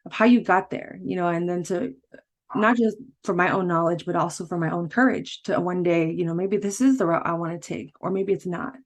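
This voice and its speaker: A woman's voice: lowish voice, brisk. A woman hosting a podcast interview, talking casually and rambling a little, with restarts and frequent laughter. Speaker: podcast host.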